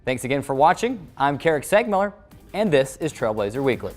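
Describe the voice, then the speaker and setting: A man's voice: mid-range voice, sing-song, brisk, a little mellow. American man hosting sports show over music. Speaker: sports show host and play-by-play announcer. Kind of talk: scripted sports show presenting. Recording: music playing under the voice. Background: background music.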